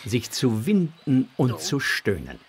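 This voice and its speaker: A man narrating a children's adventure story from a script in a suspenseful, measured way. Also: medium pitch, wildly swooping pitch, a crowd in the background.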